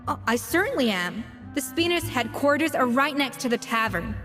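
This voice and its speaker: A woman playing a proud, exacting young head chef in a video game, with crisp, haughty, formal diction. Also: high-pitched, big pitch swings, moderate pace, a bit bright. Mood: composed, formal. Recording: reverberant game audio with music.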